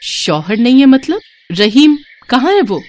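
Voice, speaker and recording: Lady doing a nagin snake woman from a folk story, higher pitched, dramatic, hurt and vengeful. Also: big pitch swings, measured pace. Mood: urgent questioning. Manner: dramatic, emphatic, emotional. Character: grieving, vengeful female snake shapeshifter in human form.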